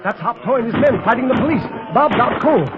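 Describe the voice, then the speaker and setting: A brave, good-humored secret agent in a 1930s radio adventure. His voice is high and swings widely, on a muffled old recording. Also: animated, fairly quick, loud music behind the voice. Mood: earnest.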